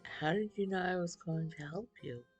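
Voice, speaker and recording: Woman playing Sage, quiet low-voiced hesitant traveller. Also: normal speed, lo-fi sound, soft background music, natural voice. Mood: uneasy. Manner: shy, hesitant, reserved. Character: Sage, quiet self-conscious anxious young traveller. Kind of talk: storybook character voice.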